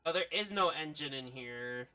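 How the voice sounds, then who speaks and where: A man's voice: a little high, expressive, moderate pace, sharp tone, faint music behind. A man doing game streamer commentary and reading game text aloud.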